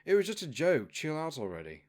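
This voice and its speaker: A man's voice: fairly high pitch, huge pitch swings, brisk, a little mellow, pitched above the performer's own voice. A man reads as a hungry royal guard in a fantasy audiobook. The talk is casual and grumbling, in an everyday male voice.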